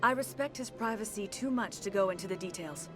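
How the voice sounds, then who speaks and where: A composed, courtly consort played by a woman with a fairly low voice. She speaks formally and deliberately, discreet and self-possessed, in a video game with clear music behind her. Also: animated, natural voice. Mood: earnest, discreet. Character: poised, influential alien consort, courteous and discreet.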